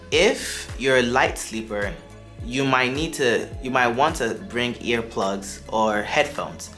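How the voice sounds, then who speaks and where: Young man, Nigerian accent slipping toward American, chatty vlogger, mid-pitched voice, faint music behind. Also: a bit bright. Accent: Nigerian, shifting toward American. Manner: chatty and upbeat, with asides and restarts. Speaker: travel vlogger. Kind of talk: casual advice to camera.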